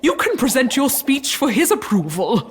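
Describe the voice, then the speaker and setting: A woman playing a high, swooping, bossy queen. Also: wildly swooping pitch, moderate pace, faint music behind. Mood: brisk, imperious. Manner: regal, exclamatory, bossy. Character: brisk, blunt, gullible queen. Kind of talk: comic audio drama acting.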